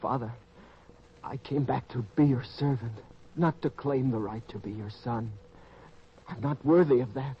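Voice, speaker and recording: A man's voice: mid-range voice, unhurried, long pauses, natural voice. Male actor as ashamed prodigal son, subdued old-time radio delivery, mid-range voice, dull slightly noisy recording. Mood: ashamed, humble. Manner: subdued, contrite. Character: humbled, penitent prodigal son, ashamed and meek.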